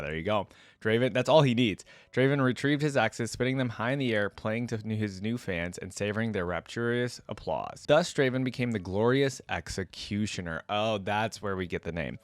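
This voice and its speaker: A man's voice: fairly low pitch, dry recording. A man doing casual reaction commentary, reading text aloud and adding his own remarks. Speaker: host of a gaming reaction channel.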